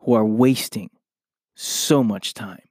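A man hosting his own podcast, his voice a little hoarse, talking earnestly and often asking "right?" as he motivates listeners. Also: fairly low pitch, slow, a little mellow, dry recording, clean studio sound. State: slightly hoarse from losing his voice. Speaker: podcast host. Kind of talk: motivational solo podcast talk.

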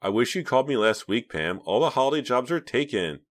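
A man's voice: fairly low pitch, big pitch swings, normal speed, a bit bright, pitched above the performer's own voice. A man voicing a spoiled, scheming teenage girl who puts on a falsely sweet tone, in an audiobook reading.

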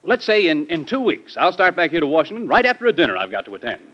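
An actor playing an outspoken, earnest young gentleman, a president's son, in an old-time radio drama. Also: fairly high pitch, wildly swooping pitch, fast, heavily acted. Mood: earnest, conciliatory. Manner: earnest, forthright, formally polite. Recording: muffled vintage radio recording.

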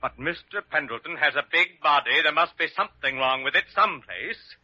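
Bright, fussy hospital chief. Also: male voice, fairly high pitch, animated, fairly quick, breathy edge, no echo, exaggerated voice. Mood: wheedling. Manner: hesitant hedging, unctuous, pompous. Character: fussy, wheedling, pompous male hospital head. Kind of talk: 1940s-50s radio drama acting. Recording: muffled old radio broadcast recording.

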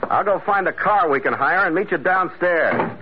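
An actor playing a tough, suspicious sea captain who investigates and narrates in a terse, hard-boiled radio detective style. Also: male voice, high voice, animated, moderate pace, heavy background noise. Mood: commanding. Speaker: radio drama lead and narrator. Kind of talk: 1940s radio detective acting, first-person narration. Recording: tinny old radio recording.

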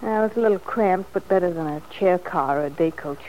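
An actress playing a bitter, anxious young wife in a vintage radio soap, with a brittle, ironic, weary manner. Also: female voice, fairly low pitch, fairly quick, highs cut off, lightly acted. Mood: resigned, anxious. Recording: faintly noisy old radio recording.

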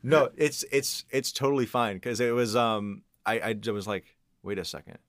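A man's voice: mid-range voice, moderate pace, dry recording, studio quality. A man talking casually as an audio engineer and podcast guest, telling work anecdotes.

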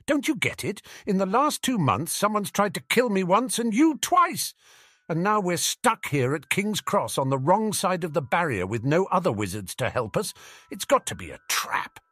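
A man voicing an urgent, forceful schoolboy wizard who is insistent and commanding, in a storybook reading. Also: fairly high pitch, big pitch swings, moderate pace, no room sound, pitched above the performer's own voice. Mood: alarmed.

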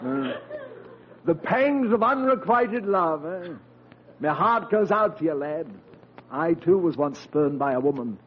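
A pompous, plummy stage ham, high for a man and wildly theatrical. He declaims flowery phrases with vain grandeur. The sound is a muffled, noisy vintage radio comedy. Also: animated, measured pace. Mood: grandly declaiming. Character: vain, flowery, womanizing old-school stage actor. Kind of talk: 1940s radio comedy acting.

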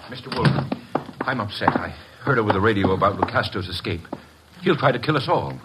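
A man playing an anxious, agitated murder suspect who is quick to accuse, in an old radio drama. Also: on the low side, lively intonation, lightly acted. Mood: upset. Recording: slightly noisy old radio recording.

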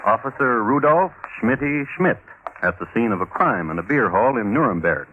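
A male narrator tells a story in the manner of 1950s crime radio. His bright voice moves widely in pitch, with wry, deliberate pauses. There is faint noise on the old recording. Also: animated, rough recording. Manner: wry, measured, theatrical pauses. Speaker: host and narrator.

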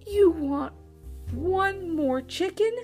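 A woman voicing a brave, determined young alligator in a children's story, speaking boldly and deliberately. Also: extremely high pitch, a little mellow, faint music behind, pitched above the performer's own voice, full character voice. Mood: defiant.

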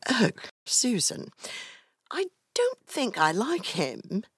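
A woman playing a cheeky, boisterous, gossipy old college friend in a comedic fantasy audio drama, with theatrical drawn-out delivery. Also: on the high side, wildly swooping pitch, fairly bright tone, breathy edge, dry recording, studio quality, exaggerated voice. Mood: playful.

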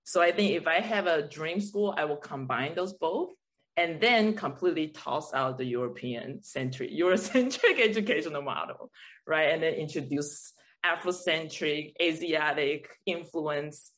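A woman's voice: low voice, expressive, normal speed, a bit bright. A woman from Taiwan speaking as a guest in a conversational podcast interview, explaining her views in a reflective way. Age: adult, parent of a school child. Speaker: guest, teacher and artist.

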